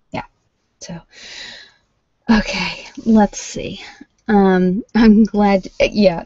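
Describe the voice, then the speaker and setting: A woman chatting casually as a livestream host reading cards, thinking aloud in an informal, halting way, on dull lo-fi audio. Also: lowish voice, creaky, dark tone, no echo. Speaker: livestream host doing tarot card readings. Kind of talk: casual live chat with viewers, card reading. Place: at home, indoors.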